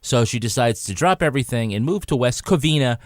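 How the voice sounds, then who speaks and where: A man's voice: medium pitch, expressive, brisk. A man talking casually as a panelist on a podcast, in conversational style.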